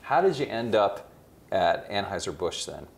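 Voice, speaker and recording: A man interviewing a company chief, asking quick probing follow-up questions. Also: on the low side, sing-song, vocal fry. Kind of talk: business interview.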